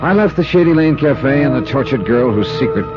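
An actor playing a hard-boiled, wry insurance investigator, with an American accent and clipped, dramatic 1950s radio delivery. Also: male voice, medium pitch, lively intonation, fairly quick, lightly acted. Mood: brooding, wry. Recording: muffled 1950s radio recording with music.

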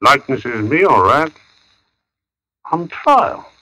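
An actor playing an odd, brooding husband with an ominous muttering manner in an old radio drama. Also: male voice, medium pitch, big pitch swings, slow, pushed and bright, full character voice, low-quality recording.

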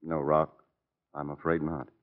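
A man's voice: rumbling low, level tone, unhurried. A man playing a grave, sympathetic doctor in a 1940s radio drama. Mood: grave, resigned. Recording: thin phone-like vintage radio recording.

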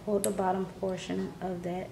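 A woman's voice: mid-range voice, flat delivery, normal speed, vocal fry. A woman in her thirties or forties keeping her voice down as she gives a casual vlog-style product demonstration from her living room. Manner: hushed, keeping voice down. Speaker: vlogger. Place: living room at home, indoors. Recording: noisy home camera recording.

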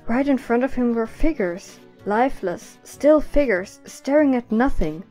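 Mellow, fairly high female narrator. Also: expressive, unhurried, soft background music. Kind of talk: scripted story narration.

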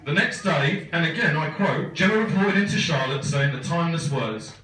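A man's voice: a little high, animated, moderate pace, sharp tone. A man in his thirties giving a teasing best man speech at a wedding, with a dry joking delivery. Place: large wooden barn wedding hall. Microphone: phone camera far back in crowd. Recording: echoey hall from far off, laughing crowd.

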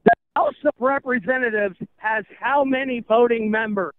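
Radio street-quiz host, male, high voice, teasing and mock-official, dull band-limited feed. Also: normal speed, no room sound. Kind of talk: comic street interview.

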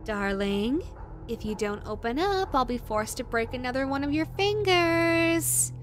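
A woman playing an obsessive, possessive yandere in a rabbit costume in an audio roleplay, cooing in a drawn-out way that swings from syrupy to threatening. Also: high-pitched, animated, over music. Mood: sweetly threatening. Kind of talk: dramatic audio roleplay addressed to the listener.

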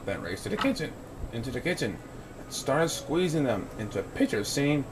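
A man's voice: medium pitch, very animated, brisk, background noise. A young man reading a picture book aloud to children, playfully acting out the sound effects. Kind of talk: children's read-aloud narration.

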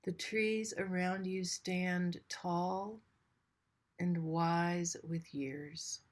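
Female meditation guide, lowish register, very unhurried delivery, gentle and soothing, generous pauses. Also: fairly even intonation. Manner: gentle, soothing, with long pauses between short phrases.

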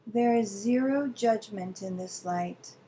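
Female guide for visualization meditation. Relaxed, warm timbre, very measured delivery, gentle and calming. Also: mid-range voice, a little hiss or hum, low-quality recording. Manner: soothing, gentle, deliberate with long pauses.

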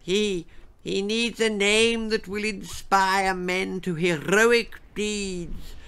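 A man voicing a frail, senile old king who speaks in a slow, croaky, stammering way. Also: sits high, fairly even intonation, a bit bright, pitched above the performer's own voice, exaggerated voice. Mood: croaky, stubborn. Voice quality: croaky, quavering.

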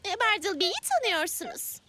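Very high, curious little girl. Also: very animated, moderate pace, background noise. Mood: warm, friendly. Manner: curious, sweet, encouraging. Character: bright, caring eight-year-old girl adventurer. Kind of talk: animated children's cartoon dubbing. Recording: loud children's cartoon music underneath.

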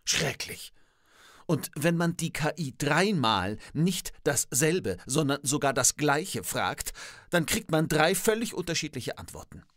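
A man's voice: on the high side, expressive, unhurried, fairly bright tone. A man plays a skeptical, traditionalist professor in a satirical dialogue. He is pedantic and articulate, with theatrical, varied intonation. The studio sound is dry and free of echo. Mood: indignant. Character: indignant, old-fashioned male professor, skeptical of technology.

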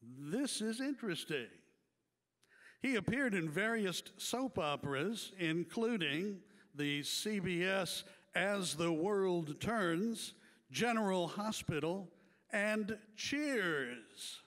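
Slow, high-voiced man announcing inductees. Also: lively intonation, fairly bright tone. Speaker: awards ceremony announcer. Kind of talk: reading prepared inductee tributes.

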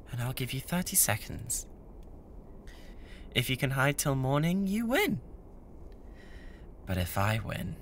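An obsessive young British yandere classmate, played by a man in an ASMR roleplay. He speaks slowly and intimately, with theatrical menace and a bratty, unhinged streak. There is clear background noise in the recording. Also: lowish voice, fairly bright tone. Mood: playfully threatening.

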